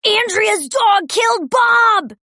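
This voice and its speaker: A woman voicing a bossy young schoolboy who shouts and gloats, for a storybook read-aloud. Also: extremely high pitch, animated, slow pace, no echo, studio quality, voice pushed up, full character voice. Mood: shouting. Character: bossy young boy classmate.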